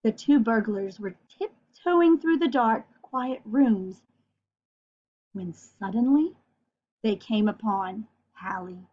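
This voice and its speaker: A woman reading a children's picture book aloud in a playful manner, putting questions to her young listeners. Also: fairly high pitch. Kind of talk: animated children's read-aloud with asides to the listener. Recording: dull, lo-fi sound.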